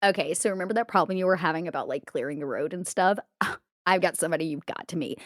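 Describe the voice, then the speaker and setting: A woman's voice: lowish voice, fast, no room sound, clean studio sound, close to an everyday voice. A woman playing a spoiled, ditzy young crime heiress in a tabletop roleplay, with breezy valley-girl talk full of filler words. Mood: sugary.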